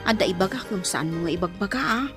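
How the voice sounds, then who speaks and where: A woman's voice: medium pitch, lively intonation, fast talker, loud music behind the voice, close to an everyday voice. A woman playing a teasing, coaxing household helper who loves matchmaking, in an Ilocano radio-style drama. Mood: confiding. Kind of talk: Ilocano audio drama acting.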